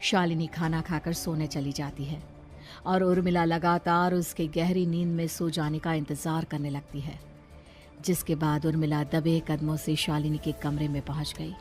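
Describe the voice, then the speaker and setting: A woman's voice: deep voice, little pitch movement, normal speed, darkish tone, soft background music. A woman narrator reading a scripted horror story.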